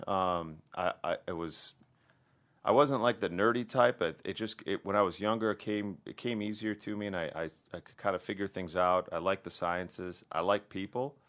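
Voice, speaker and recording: A man from the American Midwest, a plastic surgeon speaking as a podcast guest in relaxed casual conversation, full of restarts and fillers. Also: deep voice, normal speed. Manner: relaxed, conversational, many restarts and "you know".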